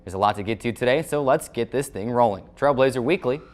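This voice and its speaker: A man's voice: medium pitch, fast talker. Male sports show host, scripted recap delivery. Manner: formal sports-broadcast phrasing.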